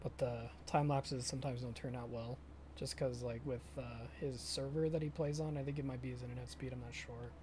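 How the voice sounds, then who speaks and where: A young man chatting casually with viewers during a live stream of a farming game, often pausing and restarting his sentences. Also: mid-range voice, fairly even intonation, noisy background. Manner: halting, self-correcting, chatty with viewers.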